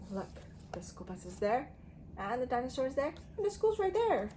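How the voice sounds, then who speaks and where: Lively woman reading aloud to young children. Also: high-pitched, normal speed, a little hiss or hum. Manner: simple child-directed phrasing, prompting listeners with questions; expressive, emphatic delivery. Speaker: children's storytime teacher. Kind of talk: read-aloud storytelling to young children with asides and questions.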